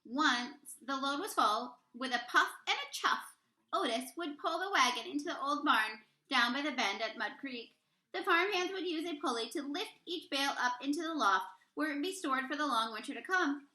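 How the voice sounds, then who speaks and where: A woman reading a children's picture book aloud expressively, with deliberate, dramatic emphasis on key words. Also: high voice, expressive, pushed and bright, roomy sound. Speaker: read-aloud storyteller, teacher reading to children.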